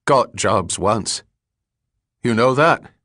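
A man's voice: on the low side, expressive, extremely slow, fairly bright tone, no echo, studio quality, heavily acted. A man voicing a ragged, dazed drifter in an audiobook story, bitter and rambling, with repetitive, slangy street talk. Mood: wistful rambling.

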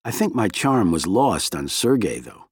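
A man's voice: sits low, sing-song, unhurried, husky, no room sound, full character voice. A man voicing a capable, polite woman researcher in an audiobook, with a plain, self-assured manner. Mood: modest, matter-of-fact.

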